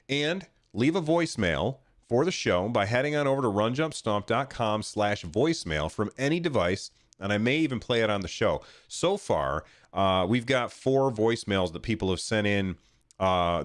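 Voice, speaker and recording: A man's voice: lowish voice, no echo. A man hosting a podcast solo in casual, chatty talk, sounding nasal and congested with a cold, speaking directly to listeners on a close microphone. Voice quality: nasal, stuffed-up. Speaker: podcast host.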